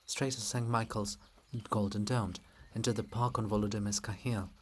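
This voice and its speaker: A man narrating a dramatic play reading, delivering scripted stage directions in a measured way. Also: fairly low pitch, steady tone, a little mellow, a little hiss or hum. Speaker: reader and narrator.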